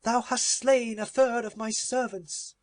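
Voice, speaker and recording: A man's voice: very high-pitched, steady tone, a little slow, voice pushed up. A man voicing a miserly, defiant female spirit with indignant, old-fashioned storybook speech. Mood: anguished, angry.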